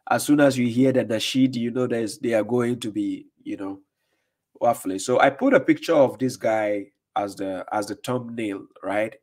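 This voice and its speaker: A man's voice: medium pitch, normal speed, a little mellow. A man in his thirties hosting a casual livestream, reading viewer comments aloud with many "uh"s and "right?"s, his voice slightly worn from a recent cold. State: recovering from losing his voice. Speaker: livestream host. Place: dark room with curtains, indoors. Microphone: desk condenser mic in front.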